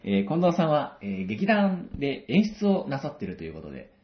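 A man's voice: mid-range voice, wildly swooping pitch, brisk. A man hosting a radio advice segment, interviewing politely and encouragingly with frequent attentive responses. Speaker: radio show host. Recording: dull lo-fi audio.

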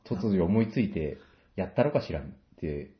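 A man speaks in a very low voice as a guest in a casual interview. He is self-deprecating and jokey, feeling his way through explanations. The sound is roomy and somewhat dull. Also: expressive, normal speed. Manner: self-deprecating, hesitant, joking. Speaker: guest, stage director and actor.

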